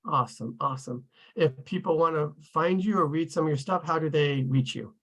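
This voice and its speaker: A man's voice: a little high, brisk, fairly bright tone. A man hosting an online table read, casually introducing participants and thanking them.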